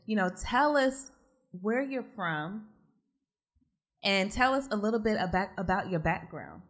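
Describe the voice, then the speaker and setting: A woman's voice: mid-range voice, lively intonation, a little slow, a bit bright. A woman hosting a podcast interview, friendly and encouraging, often affirming her guest. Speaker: podcast host.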